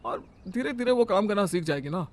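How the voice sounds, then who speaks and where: A man's voice: high voice, expressive, fairly quick. A man voicing a mild young husband who reasons with his mother. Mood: placating.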